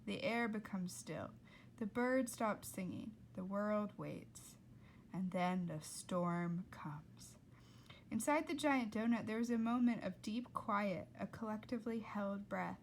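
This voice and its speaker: A woman reading a children's novel aloud in a dramatic, expressive storytelling style. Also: mid-range voice, slow pace, slight background noise. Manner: dramatic, with emphatic character voices.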